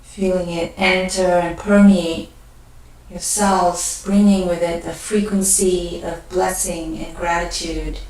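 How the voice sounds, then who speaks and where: A woman leading a guided meditation, speaking gently and unhurriedly in short phrases with pauses between them. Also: fairly low pitch, little pitch movement. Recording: very echoey room, distant mic.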